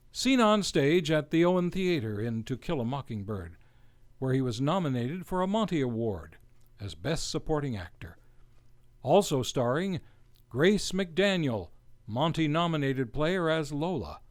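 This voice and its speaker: A male host announces a radio play in a formal, theatrical old-time style. His voice sits a little high and swings expressively, with a very unhurried, scripted delivery.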